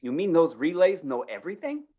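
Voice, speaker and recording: A man's voice: on the high side, big pitch swings, fairly quick, muffled recording. A man playing a meek, hesitant, apologetic father and small shopkeeper in an old-time radio comedy, polite and flustered. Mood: curious.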